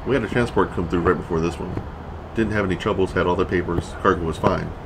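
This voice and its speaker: Deep, gruff checkpoint commander, played by a man, clipped military delivery, audio drama with clear ambient noise. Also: steady tone, fast talker. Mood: businesslike, reporting. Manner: curt, military radio phrasing. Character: gruff male checkpoint captain, commanding and short-tempered. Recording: noisy vehicle and outdoor ambience behind.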